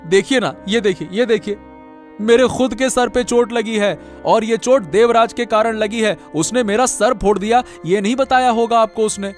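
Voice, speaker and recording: A high-voiced young village man played by a male actor from a cartoon moral tale. He is desperate and pleading, repeating his words as he begs, with soft music underneath. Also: normal speed, natural voice. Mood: protesting, pleading. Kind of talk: Hindi cartoon moral-story acting.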